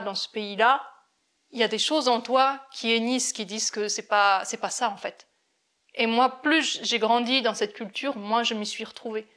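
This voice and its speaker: A woman born in France, an interview guest, talking about her life slowly and reflectively, with many hesitations and restarts. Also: mid-range voice, fairly bright tone. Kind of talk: reflective personal testimony.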